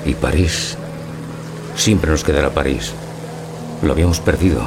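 A man from playing a bewildered, wounded lover in a radio theatre parody of a classic film. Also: normal speed. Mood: confused, pleading. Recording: clear background hum and rumble.

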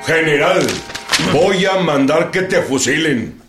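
Commanding revolutionary general, swooping delivery. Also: male voice, fairly high pitch, expressive, takes it slowly. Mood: menacing. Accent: Mexican. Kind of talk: radio drama acting. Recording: loud music under the voice.

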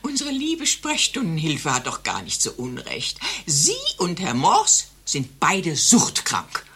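Prickly bored hypochondriac, low raspy voice, radio satire. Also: female voice, big pitch swings, slow, bright, forceful tone, exaggerated voice. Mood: needling. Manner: tart, grumbling. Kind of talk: satirical radio play acting.

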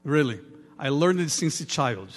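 A man with a Portuguese accent, a native Portuguese speaker, preaching a sermon earnestly in halting English with repeated words. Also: fairly high pitch, expressive. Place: large reverberant church room. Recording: reverberant hall with long echo.

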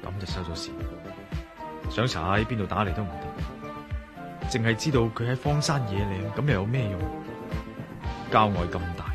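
A man's voice: on the low side, normal speed, long pauses, loud music behind the voice, lightly acted. An actor playing a concerned, persistent man investigating a mystery in a Cantonese radio drama, with a Hong Kong accent. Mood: brooding. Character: concerned, persistent male investigator, earnest and coaxing.

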